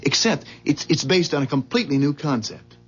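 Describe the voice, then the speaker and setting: A man's voice: on the high side, lively intonation, brisk, slightly rough, soft background music. An actor playing an earnest, uneasy company employee in a 1970s radio drama, hesitant and stammering as he talks. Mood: earnest, amazed. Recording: dull vintage 1970s radio recording.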